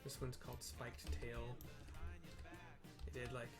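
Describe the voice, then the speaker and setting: A man's voice: medium pitch, completely flat delivery, measured pace, over loud music. A man giving casual, hesitant gameplay commentary, thinking aloud as he describes what happens on screen. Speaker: gameplay streamer. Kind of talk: casual game commentary with narrated descriptions of the action.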